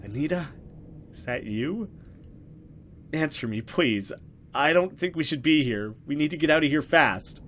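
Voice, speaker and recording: A man's voice: on the high side, lively intonation, measured pace, pauses often, a bit bright, slight background noise, pitched above the performer's own voice. A man voicing a frightened young woman in a horror visual novel, urgent, anxious and pleading. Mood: panicked.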